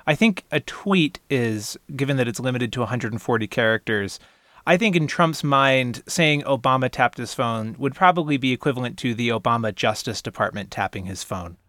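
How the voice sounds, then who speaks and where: A man's voice: medium pitch, animated, moderate pace, a bit bright, no room sound. Man, podcast co-host, eager storyteller, dry close sound. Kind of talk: casual podcast chat.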